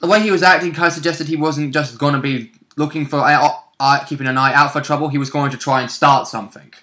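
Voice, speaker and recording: A man's voice: on the high side, fairly even intonation, fast, pushed and bright. A man in casual chat, recapping events from a role-play game he took part in.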